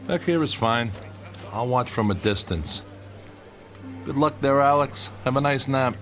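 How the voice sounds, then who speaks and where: An actor playing a skeptical, sarcastic writer who is rattled and argumentative, in an audio drama. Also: male voice, mid-range voice, measured pace, a bit bright, faint music behind, natural voice. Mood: dry, skeptical. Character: skeptical, rattled male author writing about UFOs.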